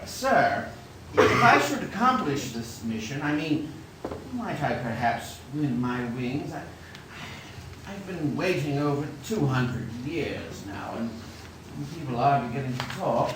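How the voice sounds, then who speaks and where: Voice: mid-range voice, lively intonation, a little slow, background noise, natural voice. An actor as a fluttery, eager old apprentice angel, very echoey. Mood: eager, anxious. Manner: fluttery, hesitant, deferential. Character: eager, timid, kindly two-hundred-year-old apprentice angel. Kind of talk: stage radio play acting. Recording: very echoey theatre, distant, music behind.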